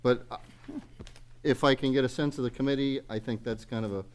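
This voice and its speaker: A man's voice: medium pitch, fairly even intonation, fairly quick, smooth. A man chairing a local government meeting in a businesslike way, steering the discussion along. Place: town committee meeting room.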